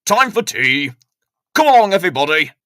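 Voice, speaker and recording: A woman's voice: on the low side, sing-song, moderate pace, a bit bright, no room sound, studio quality. A woman voicing a blustering, jovial male army major in a children's storybook. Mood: blustering, hearty.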